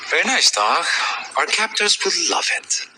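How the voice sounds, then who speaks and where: An actor playing a wry, polite captive assistant in a video game cutscene. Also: male voice, on the high side, sing-song, normal speed, bright, forceful tone, a little hiss or hum, heavily acted, low-quality recording.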